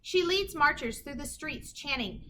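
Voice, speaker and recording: A woman reading a children's picture book aloud in an animated, emphatic storytelling manner. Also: high voice, unhurried, a little hiss or hum. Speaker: children's story reader, teacher.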